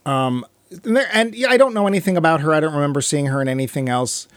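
Wry man, slightly high-pitched, dry close sound. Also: quick pace, dry recording. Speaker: podcast co-host. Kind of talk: casual film and TV chat.

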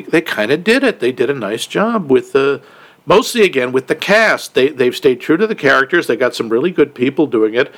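Male podcast co-host with a mid-pitched voice, easygoing and measured. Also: vocal fry, fairly bright tone. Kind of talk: casual chat, film review.

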